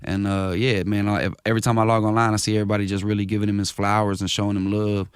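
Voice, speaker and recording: Man, rapper guest, quick slangy talk, low-set voice, dry close sound. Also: clear, resonant tone, dry recording. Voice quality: higher-range voice. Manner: animated, slangy, repeats himself. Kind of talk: casual interview chat.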